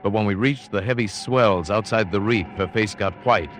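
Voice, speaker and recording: A man's voice: fairly low pitch, normal speed, close to an everyday voice. An actor playing a guarded, solitary yachtsman who narrates his adventure in an old-time radio drama, firm and protective. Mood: tense narration. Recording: old radio recording, music underneath.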